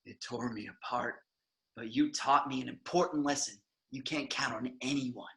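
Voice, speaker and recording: A man's voice: mid-range voice, moderate pace, gravelly, fairly bright tone. A man playing a gloating young supervillain with a grudge in a script read-through, boastful and theatrical. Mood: resentful, smug. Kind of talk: online table read of a film script.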